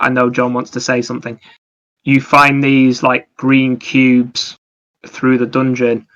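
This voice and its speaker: A man's voice: mid-range voice, fairly bright tone, highs cut off. A man chatting casually about video games as a podcast host, in a rambling, anecdotal way.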